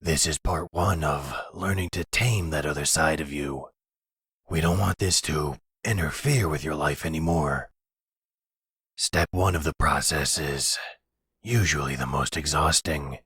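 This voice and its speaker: A man voicing a calm, fatherly werewolf pack leader and researcher in a roleplay audio drama, with a measured, formal, soothing way of guiding. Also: very deep voice, husky, no echo, studio quality, heavily acted.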